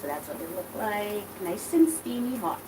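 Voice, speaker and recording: A woman's voice: medium pitch, animated, moderate pace, recorded from a distance. A woman raised in Trinidad with a Trinidadian accent, chatting casually and rambling as she cooks for her cooking channel. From Dominica, raised in Trinidad and Tobago. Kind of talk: casual cooking vlog chat. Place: home kitchen. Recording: loud kitchen noise, voice off-mic. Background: sizzling food frying.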